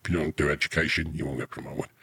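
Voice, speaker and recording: A man's voice: extremely low pitch, flat delivery, rapid-fire, gravelly, sharp tone, slightly rough. A British man, middle-aged or older, an army veteran telling rambling, earthy anecdotes casually in an interview. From United Kingdom.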